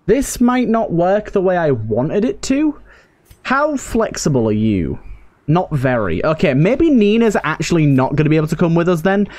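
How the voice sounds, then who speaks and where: A man's voice: fairly high pitch, darkish tone. A man giving casual let's-play commentary as a host, thinking aloud and repeating himself. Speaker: gaming video host.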